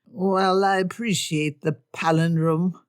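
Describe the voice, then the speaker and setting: Woman reading a weary, deadpan father. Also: fairly low pitch, slow pace, smooth, dry recording, studio quality. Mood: dry weary. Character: weary dry-witted father of five daughters.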